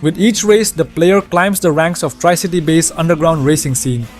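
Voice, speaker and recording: A man's voice: on the high side, normal speed, darkish tone, soft background music. A man reading a scripted narration that retells a video game story.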